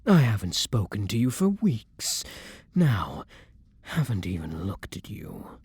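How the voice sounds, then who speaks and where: Man as a hushed, breathy, tormented vampire. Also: fairly low pitch, huge pitch swings, no room sound, heavily acted. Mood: quiet anger. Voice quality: breathy, hushed, dropping into whispers. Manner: slow, halting, dramatic pauses. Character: tormented, gentle male vampire torn between hunger and compassion. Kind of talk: intimate ASMR roleplay monologue.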